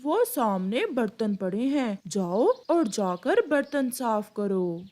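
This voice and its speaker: A woman's voice: a little high, sing-song, slow, a little mellow. A woman voicing a stern pigeon uncle who runs a tea stall in a cartoon story, firm and bossy. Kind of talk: Hindi animated moral-story acting.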